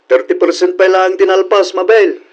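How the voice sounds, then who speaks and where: Man as young working boyfriend, high pitch, dull narrow sound, radio drama. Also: level tone, moderate pace, noisy background, natural voice. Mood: easygoing. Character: hardworking young boyfriend, a market meat seller.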